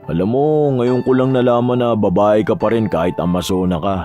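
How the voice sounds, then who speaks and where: A man's voice: fairly low pitch, brisk, mellow, soft background music, close to an everyday voice. Cocky, teasing young man acted by a man. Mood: amused, teasing. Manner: teasing, sweet-talking. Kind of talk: Tagalog romance audio drama acting.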